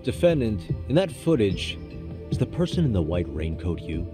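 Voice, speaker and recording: A man's voice: fairly low pitch, big pitch swings, music in the background. An actor playing a composed, persistent defense lawyer in his thirties, speaking formally in a courtroom drama game. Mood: serious, insistent. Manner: measured, formal, insistent.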